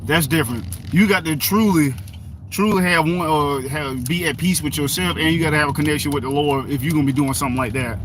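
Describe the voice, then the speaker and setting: A man's voice: a little high, fast. A man in his thirties hosting a live stream on his phone, talking emphatically and repeating phrases for stress as he reads the chat. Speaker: livestream host. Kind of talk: casual live-stream talk, reading chat. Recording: loud outdoor noise, phone held at a distance.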